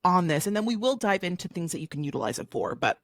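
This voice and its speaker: A woman co-hosts a podcast in a chatty, bubbly way, full of fillers. Her voice sits a little low and is recorded dry and close on a podcast mic. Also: sing-song, fast talker, no room sound. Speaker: podcast co-host. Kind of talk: casual chat.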